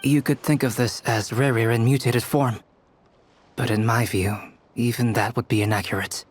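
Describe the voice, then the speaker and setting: A man playing a polite, scholarly researcher in a game dub, speaking in a measured, formal, analytical way. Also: mid-range voice, steady tone, no echo, natural voice. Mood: grave, absorbed. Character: polite, scholarly young male researcher absorbed in theory. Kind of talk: English video game dub acting.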